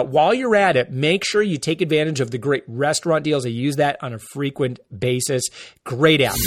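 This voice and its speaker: A man hosting a casual money-saving podcast, chatty and joking between coupon deals. His voice sits a bit high and moves animatedly. The sound is dry and close. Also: fairly bright tone, dry recording. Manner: chatty, jokey, many "uh" fillers. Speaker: podcast host. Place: Florida.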